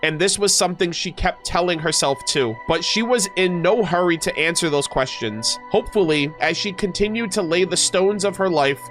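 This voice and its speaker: A man's voice: sits high, lively intonation, moderate pace, few pauses, a bit bright. A man doing casual reaction commentary as a gaming streamer, reading aloud and adding informal, slangy asides. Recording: music playing behind the voice.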